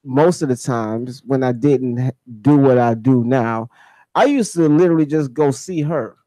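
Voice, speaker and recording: A man's voice: medium pitch, normal speed, warm tone, rough recording. An American man hosting a casual radio interview, effusive and often repeating his phrases. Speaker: radio show host.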